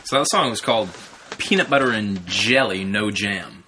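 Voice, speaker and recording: A man's voice: lowish voice, lively intonation, moderate pace, pushed and bright, slight background noise. A man co-hosting a podcast in casual banter, joking in a mock-serious, hesitant way. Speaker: podcast co-host.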